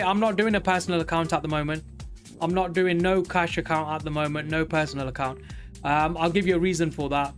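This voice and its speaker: A man of forty talking casually and candidly on a live trading stream, rambling with many pauses. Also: fairly high pitch. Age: 40s. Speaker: livestream day trader.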